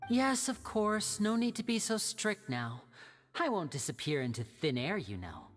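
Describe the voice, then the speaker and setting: A woman voicing a confident, suave young male stage magician in a video game, explaining in a smooth theatrical way. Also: mid-range voice, big pitch swings, a little slow. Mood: smooth, playful. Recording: reverberant hall with music behind.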